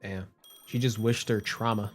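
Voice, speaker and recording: A man's voice: lowish voice, fairly even intonation, a little slow, faint music behind. A man giving casual, slangy, joking reaction commentary as he streams a game.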